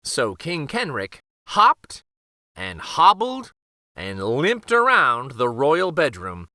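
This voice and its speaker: A man's voice: medium pitch, bright, forceful tone, dry recording, clean studio sound. A man hosting a children's read-aloud, narrating a picture book expressively.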